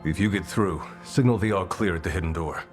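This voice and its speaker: A male actor as a gruff fighter in a fantasy game's underground resistance. His voice is very low, his delivery terse and businesslike, with music clearly playing behind him. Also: fairly quick, natural voice. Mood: serious. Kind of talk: dramatic video game acting.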